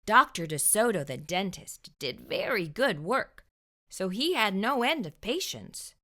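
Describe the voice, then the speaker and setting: A woman hosting a children's storytime, reading a picture book aloud in an expressive narrating style. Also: mid-range voice, measured pace. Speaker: children's storytime host and reader.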